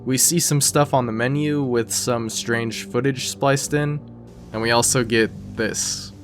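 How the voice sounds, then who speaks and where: A man's voice: medium pitch, fairly even intonation, measured pace, faint music behind. A man narrating an explainer video in a casual, conversational, scripted style. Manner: conversational, informal.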